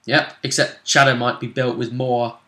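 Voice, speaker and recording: A man at the head of a gaming table in an echoing room, brightly weighing up who might win the next bout. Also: medium pitch, level tone, normal speed. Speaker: host of a tabletop role-play vidcast. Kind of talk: casual tournament preview commentary. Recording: roomy echo.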